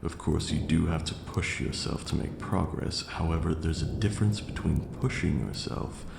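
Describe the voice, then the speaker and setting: A man's voice: very deep voice, moderate pace, creaky, roomy sound, background noise, full character voice. A man playing a haughty but caring vampire lord in an ASMR roleplay, speaking formally and sternly. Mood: gently scolding.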